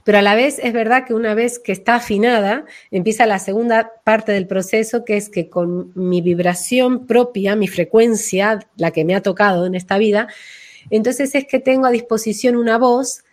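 Woman talking through her method like in an interview, expressive, chatty, kinda acts out her examples. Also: fairly high pitch, moderate pace. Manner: expressive, acts out names and quotes to illustrate points. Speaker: voice and name-energy practitioner being interviewed. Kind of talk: conversational explanation of a personal method.

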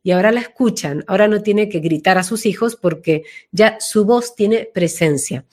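A woman's voice: mid-range voice, normal speed, darkish tone. A woman recovering from flu, explaining as an interview guest in a reflective way, repeating phrases for emphasis. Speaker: interview guest, voice work coach.

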